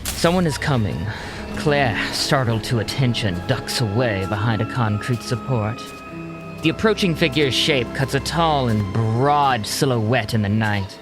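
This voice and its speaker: A man narrating an audio drama in a deliberate, suspenseful scripted storytelling style. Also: medium pitch, lively intonation, music in the background.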